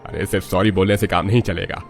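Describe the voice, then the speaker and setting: A man acts a middle-aged father-in-law in a cartoon family story. The voice is fairly low and brisk, kindly with a gently chiding edge. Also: steady tone, gravelly, faint music behind.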